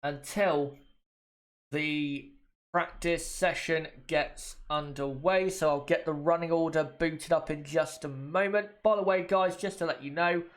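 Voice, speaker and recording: A man's voice: a little high, steady tone, a little slow. A man with a bit of a cold, chatting casually to viewers as a livestream host and often repeating his points. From United Kingdom. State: has a cold. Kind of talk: casual watchalong commentary.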